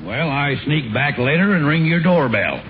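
A man playing a brazen, childish grown man in an old radio comedy, blunt and deadpan. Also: a little high, moderate pace. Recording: tinny, very noisy old radio broadcast.

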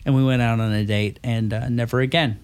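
A man's voice: mid-range voice, fairly even intonation, fairly quick, smooth. A man co-hosting a podcast in casual chat over beers, rambling through a story and laughing often. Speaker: podcast co-host.

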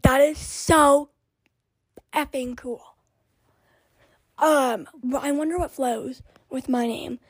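A young woman hosting a podcast with a raspy voice, chatting in a scattered, rambling way and often dropping into whispered asides. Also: sits high, no room sound, rough recording. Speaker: podcast host. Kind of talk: casual rambling chat.

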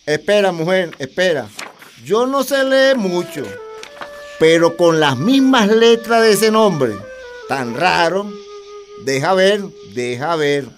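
An actor with a Venezuelan accent playing a proud, stubborn rebel colonel and father in a radio drama, gruff and colloquial. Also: high voice, animated, takes it slowly, faint music behind. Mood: musing, coaxing.

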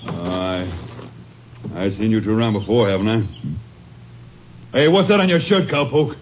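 A man's voice: lowish voice, animated, moderate pace, natural voice. An actor playing a suspicious, hot-headed henchman with folksy, uneducated phrasing in a 1950s radio drama. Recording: muffled, noisy 1950 radio recording.

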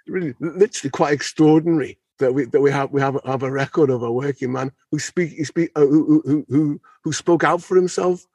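Higher-pitched man, husky and enthusiastic. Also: darkish tone, no echo. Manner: enthusiastic, stumbling over repeated words. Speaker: researcher on a discussion panel. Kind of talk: conversational expert discussion.

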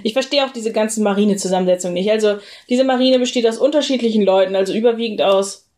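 A woman's voice: mid-range voice, quick pace, a little mellow. Casual, opinionated woman chatting. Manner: colloquial, opinionated. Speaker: co-host of a discussion about a TV series. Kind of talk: casual chat, critical series discussion.

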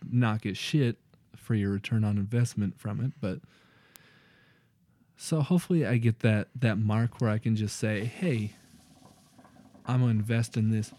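A young man talks in a relaxed, profane way, co-hosting a casual podcast. His voice is mellow and dark. The sound is dry and close. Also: on the low side, measured pace, pauses often, no echo. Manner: loose and profane, laughs often. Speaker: podcast co-host. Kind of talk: casual chat.

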